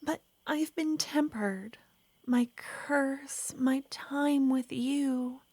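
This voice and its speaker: A woman voicing an ancient, lovesick female vampire who pleads softly and slips into whispers, in an ASMR roleplay. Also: sits high, extremely slow, no echo. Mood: pleading. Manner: soft, pleading, drifting into whispers.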